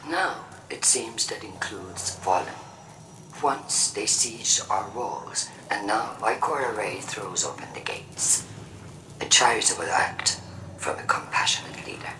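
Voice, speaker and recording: An actor playing a grave, suspicious and proud war-faction leader in a video game, delivering measured, ominous oratory. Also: male voice, on the low side, expressive, background noise. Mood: ominous warning. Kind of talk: dramatic video game monologue.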